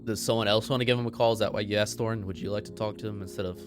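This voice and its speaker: A man with a fairly low voice plays a tabletop roleplaying game. He talks casually about the play, with music clearly heard underneath. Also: fairly even intonation, racing.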